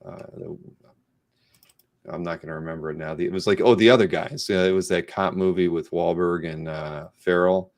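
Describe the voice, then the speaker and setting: A man's voice: sits low, fairly even intonation, normal speed, gravelly, a little mellow. A man hosting a casual interview in a rambling, conversational way. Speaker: host of a comic art live show.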